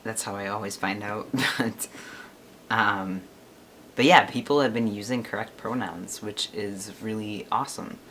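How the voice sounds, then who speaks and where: A man's voice: lowish voice, measured pace, pushed and bright, slight background noise. A man in his twenties chatting casually to his camera, rambling and laughing often. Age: 20s. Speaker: vlogger.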